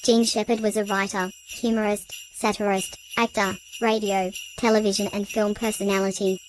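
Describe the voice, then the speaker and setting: A woman's voice: medium pitch, flat delivery, moderate pace, noisy background. A woman reading scripted introductions as a programme host, with bells jingling behind her. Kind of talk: scripted narration.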